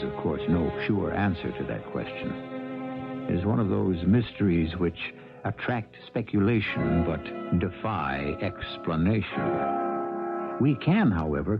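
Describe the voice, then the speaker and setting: Gravelly, low-voiced American radio mystery host. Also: male voice. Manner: measured, solemn, dramatic pauses. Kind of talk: 1970s radio drama scripted narration. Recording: tinny band-limited 1970s radio, music behind.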